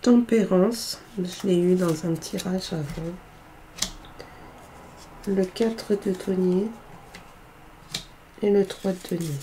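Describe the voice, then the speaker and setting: Woman w a stuffy cold doing a tarot reading, mellow dark voice, keeps hesitating. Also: fairly low pitch, slow pace, pauses often, a little hiss or hum, low-quality recording. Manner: hesitant, many filler sounds. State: has a cold, congested voice. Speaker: tarot card reader. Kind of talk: casual weekly tarot reading.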